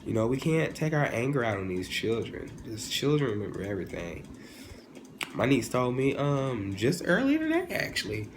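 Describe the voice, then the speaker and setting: A man in his twenties giving a casual, humorous opinion monologue as a vlogger, animated and informal, often digressing and correcting himself. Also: medium pitch, normal speed. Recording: clearly noisy, ambient background sound.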